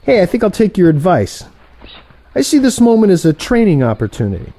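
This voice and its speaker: A man's voice: high-pitched, huge pitch swings, fairly quick, mellow, full character voice. An actor playing a cocky younger male assassin who banters with teasing sarcasm in a comic audio play.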